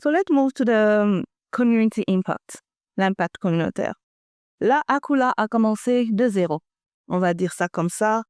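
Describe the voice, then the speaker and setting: A woman's voice: mid-range voice, lively intonation, moderate pace, a little mellow, smooth, no room sound, studio quality. A woman hosting a podcast interview, who asks long questions and switches between English and French. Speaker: podcast host.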